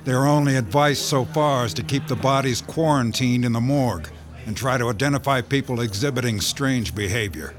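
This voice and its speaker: An actor playing an impatient, commanding county sheriff in a radio drama. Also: male voice, mid-range voice, normal speed, faint music behind, lightly acted. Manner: brusque, commanding. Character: gruff middle-aged male county sheriff, impatient.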